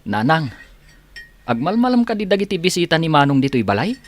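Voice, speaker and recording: A man's voice: a little high, very animated, brisk, slight background noise. A man acting a shy, hopeful young younger brother in an Ilocano radio drama.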